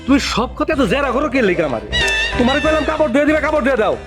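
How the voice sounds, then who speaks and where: A man's voice: very high-pitched, big pitch swings, racing, loud music behind the voice. A man playing a scheming village neighbor. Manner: brusque, bossy with his wife.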